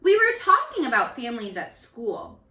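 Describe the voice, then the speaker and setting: A woman teacher leading an interactive read-aloud lesson for young children, animated and encouraging, often pausing to ask questions. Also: fairly high pitch. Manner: animated, encouraging, asks questions and pauses for answers. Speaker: primary school teacher. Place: living room at home. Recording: roomy home echo.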